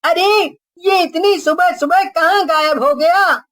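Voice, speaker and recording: A woman's voice: very high voice, slow, a bit bright, heavily acted. A woman voicing a confident young boy who speaks in a dismissive, childlike way. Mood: puzzled. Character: bossy, braver young brother of a timid boy.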